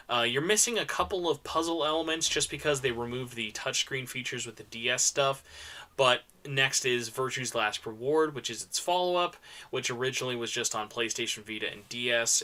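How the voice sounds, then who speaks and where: A man's voice: medium pitch, bright, forceful tone. A man giving an enthusiastic, informal panel talk full of recommendations, stressing points by repeating words and using casual slang. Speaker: convention panel presenter.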